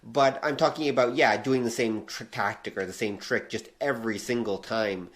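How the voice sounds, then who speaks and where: A man's voice: medium pitch, steady tone, normal speed. A man co-hosting a podcast, talking casually about game design in an explanatory, emphatic way and repeating his key points. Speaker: podcast co-host.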